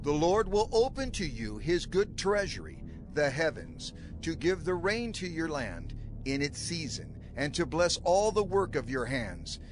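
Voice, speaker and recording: A man's voice: on the high side, sing-song, measured pace, rough recording. A man voicing an aged prophet solemnly warning his people, declaiming in a grave, rhythmic cadence over a music underscore. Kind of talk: dramatized scripture reading.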